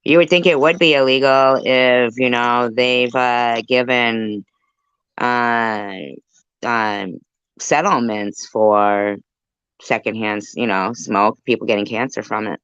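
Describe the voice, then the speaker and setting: Slow-talking woman, low voice, dull audio. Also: fairly even intonation, fairly bright tone, smooth, no room sound. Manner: halting, rambling. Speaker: live chat panelist. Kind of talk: casual chat.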